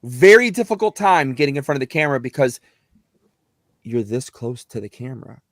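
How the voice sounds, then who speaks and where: A man's voice: medium pitch, brisk, dry recording. Middle aged guy, kinda whiny voice, rambly casual chat, dry sound. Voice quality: slightly whiny voice. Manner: animated, rambling, many restarts. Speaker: actor and guest. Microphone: close mic.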